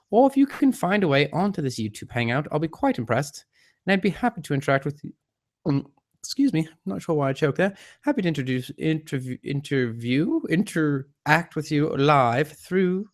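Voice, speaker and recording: Theatrical male livestreamer with put-on English accent. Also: a little high. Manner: self-deprecating, theatrical, mock-formal. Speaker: livestream host. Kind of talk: rambling live chat to viewers.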